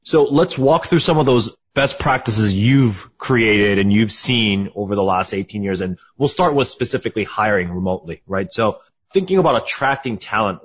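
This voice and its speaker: A man with a low, somewhat husky voice hosts a business podcast, upbeat and quick to say "awesome." He moves from a scripted opening into relaxed interview questions, heard on slightly muffled audio. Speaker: podcast host. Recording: dull lo-fi audio.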